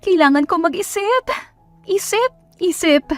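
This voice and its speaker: A female narrator puts on a young businesswoman in a romance audio drama. Her voice is high and emphatic, bold and under strain, switching between English and Tagalog. Music plays behind the close, dry recording. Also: expressive, a little slow, fairly bright tone, no room sound, full character voice. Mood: tense, determined. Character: stressed young businesswoman, bold, tipsy when drinking.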